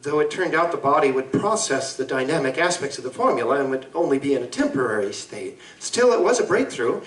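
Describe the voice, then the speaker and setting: A man playing an anguished Victorian gentleman scientist in a live audio drama, speaking formally, eloquently and deliberately. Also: medium pitch, very animated, close to an everyday voice. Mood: earnest, scholarly. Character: anguished gentleman scientist confessing to a monstrous alter ego. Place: live convention performance in a room. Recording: roomy live stage recording, distant mic.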